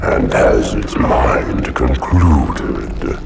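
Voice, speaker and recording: An immense ancient creature speaks with bottomless depth and slow, grandiose menace. The voice booms in solemn oratory, a video game monster over loud music. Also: male voice, lively intonation, heavily acted. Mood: ominous, grandiose. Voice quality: booming, gravelly, monstrous. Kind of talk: video game cutscene acting.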